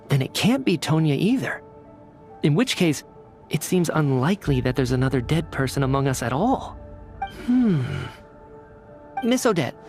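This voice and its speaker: A man's voice: fairly high pitch, darkish tone. An actor playing an earnest, courteous young man in a video game, articulate and reasoning things out aloud. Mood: thoughtful. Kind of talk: video game cutscene acting.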